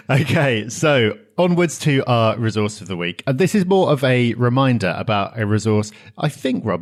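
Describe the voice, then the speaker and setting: A British man co-hosting a podcast, briskly wrapping up the show and plugging events. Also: lowish voice. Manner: brisk, wraps up and plugs events. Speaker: podcast co-host. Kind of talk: casual podcast chat.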